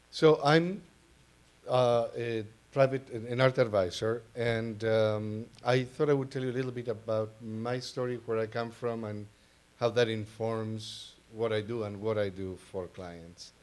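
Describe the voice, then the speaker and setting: A man's voice: mid-range voice, fairly even intonation, a little slow, darkish tone. A man with an Argentine accent, a native Spanish speaker, speaking hesitantly and informally as a panelist. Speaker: panelist, art advisor. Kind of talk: informal panel talk, personal introduction.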